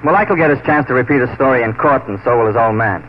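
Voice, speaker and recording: An actor playing a steady, fearless western lawman who talks in a clipped, challenging way in a 1940s radio drama. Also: medium pitch, rapid-fire, lightly acted. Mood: commanding. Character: steady, fearless frontier lawman, blunt and taunting. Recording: muffled, noisy 1940s radio broadcast.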